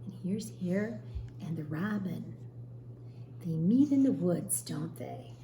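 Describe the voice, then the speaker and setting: A woman teacher reading a storybook aloud expressively to young children, pausing to explain and ask questions. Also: on the low side, animated, vocal fry, dark tone. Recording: roomy echo, faint background noise.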